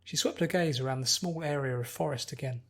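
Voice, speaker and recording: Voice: medium pitch, fast talker. A narrator reading scripted fiction as an audiobook.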